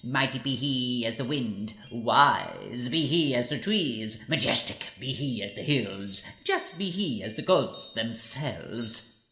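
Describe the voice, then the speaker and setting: An actor playing a pompous, obsequious royal advisor in a comedy audio drama, speaking in florid, ceremonious phrases. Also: male voice, medium pitch, expressive, slow pace, sharp tone, echoey room, full character voice. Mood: grandly ceremonious.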